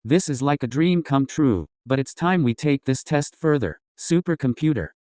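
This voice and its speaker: A man's voice: mid-range voice, animated, moderate pace, darkish tone, no echo, natural voice. Man as callous lab boss, dry close sound. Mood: gloating, commanding. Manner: commanding, contemptuous. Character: callous, scornful boss of a secret research lab. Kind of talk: scripted audio drama acting.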